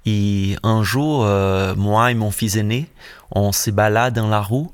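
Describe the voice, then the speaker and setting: A man's voice: fairly low pitch, steady tone, slow pace, clear, resonant tone. Middle-aged Brazilian male guest, Portuguese-accented French, hesitant and explanatory. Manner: searching for words, many hesitations and restarts. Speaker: musician guest. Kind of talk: radio interview.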